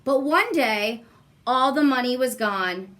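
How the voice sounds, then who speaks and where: A woman reading a children's storybook aloud expressively, with deliberate emphasis and repetition for young listeners. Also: sits high, animated, a bit bright. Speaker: guest story reader.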